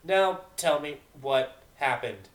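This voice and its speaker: A man's voice: a little high, animated, slow, distant mic, slight background noise, voice pushed up. A man voicing a stern, commanding male pony guard captain in an amateur story reading, bellowing with authority. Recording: roomy, echoing home recording.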